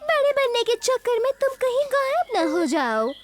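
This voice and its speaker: A woman's voice: extremely high pitch, sing-song, normal speed. A woman voicing a tiny female tailorbird in a dubbed cartoon. She is sweet, eager and a little insecure, and she speaks in a sing-song way. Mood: teasing. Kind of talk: cartoon dubbing. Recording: music bed under the dialogue.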